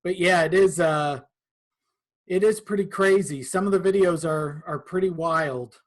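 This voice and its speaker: A man chatting casually as a podcast guest, in a halting, amused manner. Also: on the high side. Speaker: podcast guest, video editor. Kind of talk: casual chat.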